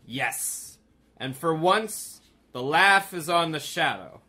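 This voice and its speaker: An actor playing a self-satisfied, commanding police commissioner in pompous 1930s radio style. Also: male voice, a little high, expressive, slow. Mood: smug, announcing. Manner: pompous, authoritative. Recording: noisy track with background ambience.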